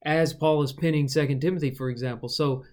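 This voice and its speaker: A man's voice: on the high side, fairly even intonation, a little fast, darkish tone. A man co-hosting a Bible study discussion, reasoning through the text and posing rhetorical questions. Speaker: Bible study podcast co-host.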